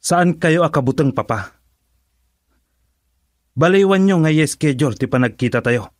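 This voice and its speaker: A man's voice: a little high, animated, moderate pace, dry recording, studio quality, lightly acted. A man with an Ilocano accent playing an evasive young husband in a radio drama. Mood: defiant. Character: evasive, busy young husband dodging his father-in-law.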